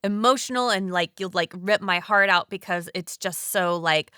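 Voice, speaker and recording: A woman's voice: medium pitch, normal speed, bright, forceful tone, smooth, no room sound, clean studio sound. A woman cast member chatting casually, gushing and peppering her talk with "like". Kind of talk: casual chat.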